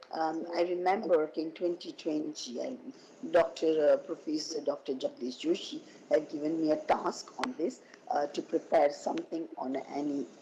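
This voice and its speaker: A woman with a husky voice, hoarse from a cold, giving a halting academic keynote over an online call. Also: low-pitched, mellow, slight background noise, poor audio. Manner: halting, with many fillers and restarts. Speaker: keynote speaker.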